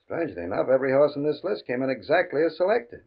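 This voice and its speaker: An actor playing a smooth, menacing gambling boss in 1950 radio drama style, mock-polite, controlling and taunting. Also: male voice, medium pitch, sing-song, fast, heavily acted. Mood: quietly threatening. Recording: muffled old radio recording.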